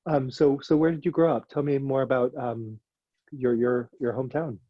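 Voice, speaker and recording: Forties male host, dark breathy tone, dry lo-fi sound, thoughtful questions. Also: mid-range voice, steady tone, moderate pace, dry recording. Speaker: host, interviewer. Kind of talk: casual interview.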